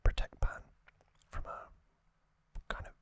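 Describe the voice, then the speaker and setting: Slow, whispering male ASMR host. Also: no room sound. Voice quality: breathy unvoiced whisper. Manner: gentle, unhurried, halting phrases. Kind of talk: whispered ASMR plot recap. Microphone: close ASMR microphone.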